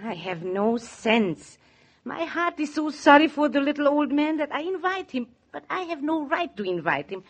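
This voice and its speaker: Soft-hearted, bossy German-accented cook and wife, slightly high voice, old-time radio drama. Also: female voice, sing-song, moderate pace. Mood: worried, self-reproaching. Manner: emphatic, stilted immigrant English. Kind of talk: 1940s radio drama acting.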